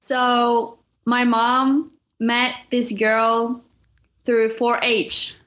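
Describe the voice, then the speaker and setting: A woman's voice: fairly high pitch, level tone, extremely slow, fairly bright tone. Young woman, friendly and a little hesitant. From Norway. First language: Norwegian. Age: young adult. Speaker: student guest. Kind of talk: casual interview answers.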